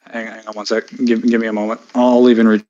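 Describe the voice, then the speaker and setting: A man co-hosting a casual stream, joking, laughing often and cutting in with quick repeated words. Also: lowish voice, level tone, a little mellow. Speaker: stream co-host. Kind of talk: casual banter reading a blog. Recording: slightly dull lo-fi sound.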